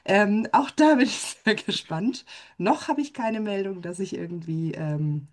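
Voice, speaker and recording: Woman cohosting a podcast, lively, laughs a lot, easy chatty talk. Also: mid-range voice, measured pace. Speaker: podcast co-host. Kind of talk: podcast chat.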